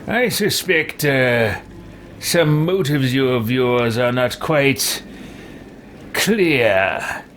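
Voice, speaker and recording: Guy doing a snooty power hungry evil emperor, slow n dramatic, bit of noise. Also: medium pitch, expressive, a bit bright. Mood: disdainful. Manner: pompous, disdainful, deliberate. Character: haughty, power-hungry male would-be dark emperor.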